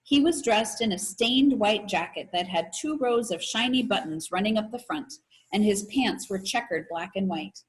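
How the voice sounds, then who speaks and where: A woman reading a children's novel aloud like a teacher, in an expressive, animated storytelling manner. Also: medium pitch, normal speed, low-quality recording. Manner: animated storytelling with voices for characters.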